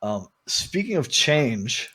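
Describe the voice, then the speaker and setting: A man's voice: mid-range voice, sing-song, takes it slowly, bright, forceful tone, slightly rough, poor audio. A man hosting a podcast in a casual, rambling and informal way, talking through music with frequent asides. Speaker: podcast host. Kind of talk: casual album discussion.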